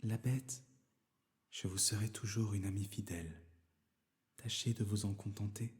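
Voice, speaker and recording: Man voicing a soft, timid young woman. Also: lowish voice, fairly even intonation, a little slow, lightly acted. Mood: gentle. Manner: soft, hesitant, pleading. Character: timid, sincere, kind-hearted young woman.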